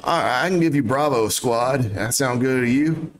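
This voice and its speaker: A man's voice: mid-range voice, moderate pace, slight background noise, lightly acted. A man voicing an older mercenary commander who talks in a blunt, folksy way. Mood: gruff.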